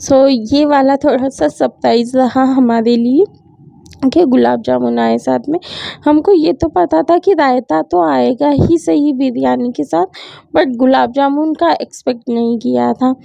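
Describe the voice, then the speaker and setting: A woman's voice: high voice, measured pace, vocal fry, mellow, no echo. A young woman vlogger with a sore throat, chatting casually as she narrates her day and mixes English words into her talk. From India. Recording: dull lo-fi sound.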